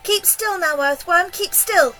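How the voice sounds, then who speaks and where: A woman voicing a brave, excited young boy who gives urgent commands in a storybook reading. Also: very high voice, measured pace, soft background music, pitched above the performer's own voice, heavily acted. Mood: urgent, hushed.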